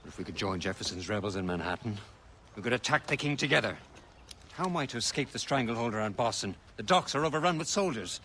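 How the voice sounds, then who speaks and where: An actor playing a stern rebel leader with a harsh, commanding manner. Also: male voice, mid-range voice, lively intonation, quick pace, a bit bright, a little hiss or hum, natural voice. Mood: planning.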